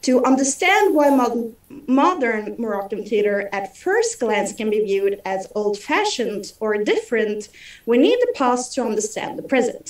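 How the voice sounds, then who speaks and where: A young woman from Norway reading a prepared conference presentation over a video call from home, in a steady delivery. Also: on the high side. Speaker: theatre critic presenting. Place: room at home. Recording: roomy video call audio from a distance.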